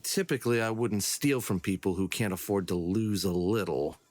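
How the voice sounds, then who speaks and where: A man's voice: low-pitched, normal speed, darkish tone. A man playing an earnest, upbeat, scheming leader of adventurers in a comedy audio drama. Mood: troubled.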